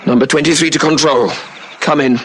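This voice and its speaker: A man's voice: on the high side, steady tone, brisk, natural voice. An actor playing a wounded, dogged policeman in an old radio drama, with a strained, pained delivery. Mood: pained, straining. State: in pain, straining. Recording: slightly noisy vintage radio drama recording.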